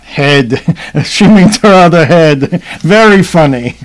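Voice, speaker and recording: Radio drama: grumpy, easily charmed American tourist husband, male actor, high voice, big swings in pitch. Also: expressive, slow pace. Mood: flirtatious, eager. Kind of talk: radio play acting.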